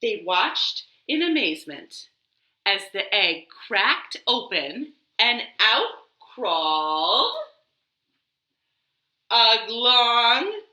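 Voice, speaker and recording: A woman hosting a children's storytime, reading aloud expressively with dramatic pauses and drawn-out words. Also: a little high, very animated, sharp tone. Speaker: library storytime host. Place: living room. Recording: echoey living room.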